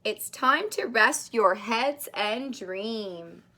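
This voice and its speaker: A woman with a high, bright voice reads a children's storybook aloud at a very slow pace. She is expressive and sing-song, stretching out words as a preschool teacher does for little listeners. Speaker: daycare teacher reading a picture book to young children.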